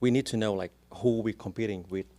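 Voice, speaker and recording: A man's voice: fairly low pitch, steady tone, brisk, warm tone. A man with a strong Vietnamese accent, a real estate agent speaking as a guest in a casual interview, explaining things earnestly and step by step.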